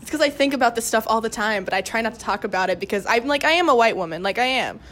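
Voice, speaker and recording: A woman's voice: a little high, lively intonation, very fast, fairly bright tone. A young woman in her late teens speaking casually and candidly as a guest, earnest, with lots of "like" in her sentences. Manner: earnest, heavy use of "like". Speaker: student cast member, podcast guest.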